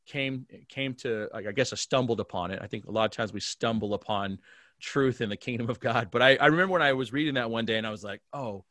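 An American man with a medium-pitched voice talks as a pastor being interviewed. He explains things in an earnest, conversational way and often checks that the listener follows. The sound is dry, with little room in it. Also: fast, a bit bright, dry recording. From Alaska, United States.

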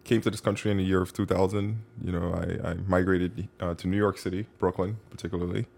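A man from Haiti telling his life story as a podcast guest, relaxed and self-mocking, with many fillers. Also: low voice, fast, creaky. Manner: relaxed storytelling, frequent fillers, bursts of laughter. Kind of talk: casual interview.

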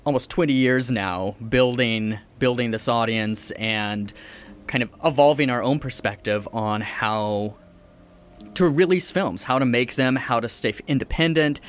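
An American man in his forties, talking as an indie film producer guest. He speaks at a middle pitch and in quick, energetic bursts, restarting his phrases as he goes. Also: smooth. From United States. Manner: quick, energetic storytelling with repeats. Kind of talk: casual interview chat.

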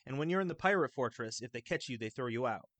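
A man chatting casually about video games in a rambling, halting, enthusiastic way. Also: medium pitch, expressive, a bit bright, dry recording. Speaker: video game podcast panelist.

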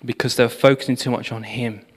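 A man's voice: mid-range voice, fast. Man preaching in a reverberant hall. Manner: storytelling, animated, with fillers. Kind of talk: sermon on revival history.

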